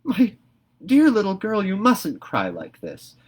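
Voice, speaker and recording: A man voicing a kind, merry young minister's wife in a storybook reading, speaking gently and soothingly. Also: high voice, wildly swooping pitch, slow pace, slightly rough, voice pushed up, exaggerated voice.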